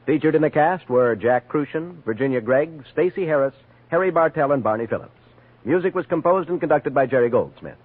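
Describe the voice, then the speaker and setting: Animated American 1950s radio announcer man. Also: a little high, a little hiss or hum. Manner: formal, dramatic, deliberate. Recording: narrow-band old radio broadcast. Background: music.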